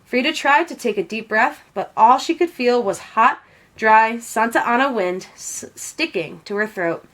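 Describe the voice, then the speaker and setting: A woman narrating a storybook in an expressive read-aloud style. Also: a little high, measured pace.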